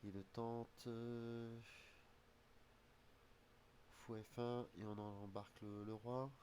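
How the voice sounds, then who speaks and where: A man's voice: medium pitch, little pitch movement, takes it slowly, warm tone, slight background noise. A man with a slight head cold who streams his chess games, muttering his calculations aloud in half-finished phrases. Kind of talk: thinking aloud while playing online chess. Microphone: streaming desk mic.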